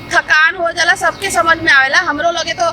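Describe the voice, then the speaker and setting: Very high-pitched Bhojpuri nagging wife played by a woman. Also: steady tone, moderate pace, sharp tone, faint music behind, a faint crowd, full character voice. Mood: accusing. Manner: complaining, insistent, repeating herself. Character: nagging, suspicious, jealous village wife with children. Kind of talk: comedy skit dialogue as a phone quarrel.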